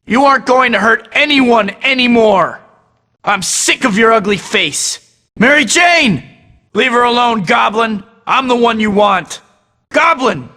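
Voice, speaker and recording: A man's voice: very high-pitched, lively intonation, unhurried, pushed and bright, in a large hall, heavily acted. An actor voicing a young male superhero who tosses out sarcastic taunts in a video game. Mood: angry. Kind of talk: game voice clips.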